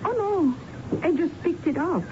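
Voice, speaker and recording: A woman's voice: extremely high pitch, lively intonation, a little slow. A woman playing a flustered, forgetful widow in a radio drama, polite but vague. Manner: flustered, vague, formal foreign phrasing. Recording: dull, noisy 1970s radio broadcast recording.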